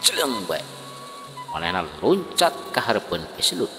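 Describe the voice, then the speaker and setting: Man, Sundanese accent, lively dramatic storyteller, long reverb, music behind. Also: on the low side, normal speed. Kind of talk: dramatic folk tale narration.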